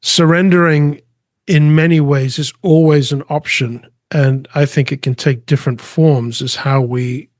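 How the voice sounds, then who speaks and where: Earnest man with halting restarts. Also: a little high, fairly even intonation, darkish tone, dry recording, studio quality. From England, raised in Spain. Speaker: artist and guest. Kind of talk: conversational interview answers.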